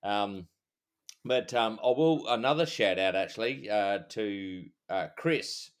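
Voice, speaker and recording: A middle-aged Australian man, a former cop co-hosting a podcast, chatting in a rambling, halting, easygoing way. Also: fairly low pitch, clear, resonant tone. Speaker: podcast co-host, former police officer. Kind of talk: casual chat.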